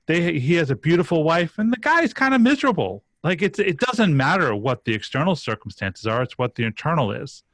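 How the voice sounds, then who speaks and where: A man's voice: a little high, sing-song, fairly quick, hardly any pauses, a bit bright, dry recording. A man working as a life coach, talking animatedly and casually with many fillers. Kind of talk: casual conversation.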